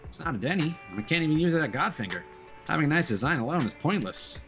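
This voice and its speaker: A man's voice: fairly high pitch, expressive, fast, fairly bright tone, faint music behind. A man voicing a dramatic, hungry schoolkid in a video game, with exaggerated delivery. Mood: eager, confiding.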